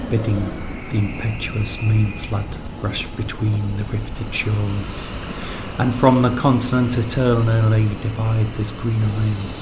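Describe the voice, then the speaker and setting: A man reading his own literary piece aloud as a solemn, measured dramatic recitation. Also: lowish voice.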